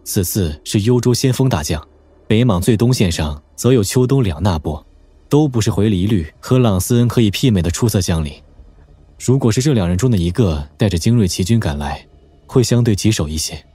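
An actor playing a refined but indignant young general in a Chinese martial-arts audio drama, with a husky voice. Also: male voice, fairly low pitch, expressive, brisk, warm tone. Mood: grave, reporting.

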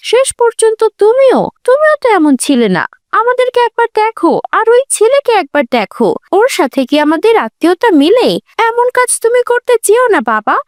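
Woman playing a very shrill, haughty rich girl. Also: very animated, normal speed, no echo, studio quality. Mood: pleading protest. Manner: haughty, scolding, insistent. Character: arrogant, spoiled young rich sister, scornful and demanding. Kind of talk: melodramatic cartoon story dubbing.

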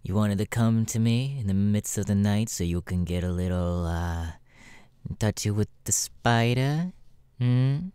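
A man's voice: fairly low pitch, a little slow, warm tone, smooth, dry recording, close to an everyday voice. A man voicing a jaded, sassy, foul-mouthed male spider demon with a sardonic, weary drawl in an ASMR audio roleplay. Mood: annoyed, teasing. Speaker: voice actor, ASMR roleplay artist.

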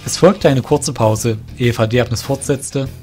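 A man narrating a scripted fantasy audio drama in a measured storybook manner. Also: medium pitch, a little mellow, soft background music.

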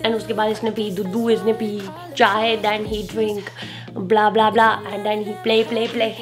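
A woman's voice: medium pitch, little pitch movement, slow, over music. A woman, chatting casually and instructively as she narrates a recipe, switching in English words. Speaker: cooking vlog host. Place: home kitchen, indoors.

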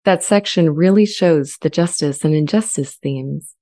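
A woman playing an earnest, friendly, self-aware artificial intelligence in a scripted dramatization, speaking in a measured, articulate way. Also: deep voice, mellow. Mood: thoughtful. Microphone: studio condenser mic with pop filter, close.

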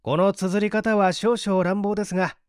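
A man's voice: sits high, steady tone, moderate pace, clear, resonant tone, dry recording, studio quality, lightly acted. A man reading the part of an assured detective scientist in an audiobook, patiently explaining his deductions in an articulate, didactic way.

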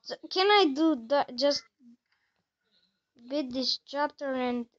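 Young female streamer, halting self-talk, unhurried, thin home-mic sound. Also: high-pitched, highs cut off. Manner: halting, talks to herself while playing. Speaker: gamer playing a horror game. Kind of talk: casual let's-play commentary.

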